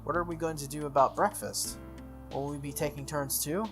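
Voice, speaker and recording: A man's voice: a little high, fairly even intonation, normal speed, close to an everyday voice. A man voicing an earnest, easygoing teenage boy in a visual novel, plain-spoken and conciliatory. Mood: agreeable, hesitant. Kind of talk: visual novel character reading. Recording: music playing behind the voice.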